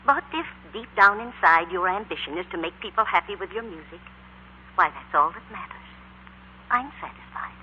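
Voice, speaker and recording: Phone-thin noisy 1940s radio play, woman acting gentle mom, middle aged, tender n measured. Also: mid-range voice, lively intonation, close to an everyday voice. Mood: proud, tender. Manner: tender, measured, sentimental delivery. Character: gentle, proud, loving middle-aged widowed mother. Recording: tinny, noisy, humming 1940s radio recording.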